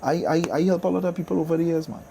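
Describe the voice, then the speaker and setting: A man's voice: fairly high pitch, fairly even intonation, very fast, creaky, mellow, a little hiss or hum. A man with a Trinidadian accent chatting casually as an interview guest, emphatic and repeating phrases to drive his points home. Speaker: guest, radio DJ and soca artist.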